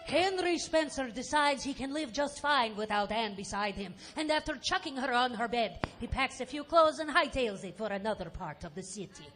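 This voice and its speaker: High-pitched woman narrating a comic drama. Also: lively intonation, normal speed, hardly any pauses. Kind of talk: comic scripted narration, live audio theatre. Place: stage, live audio theatre performance.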